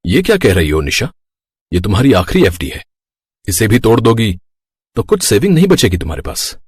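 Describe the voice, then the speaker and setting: A man's voice: lowish voice, big pitch swings, a little fast, slightly rough, no echo, studio quality. A man voicing a devoted young suitor in a cartoon story, earnest, frank and urging. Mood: concerned. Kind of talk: Hindi cartoon moral story acting.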